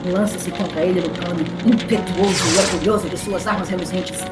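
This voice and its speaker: A woman's voice: lowish voice, steady tone, fairly quick. A woman with a Brazilian accent giving a scripted, dramatic narration of an epic. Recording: roomy echo, music underneath.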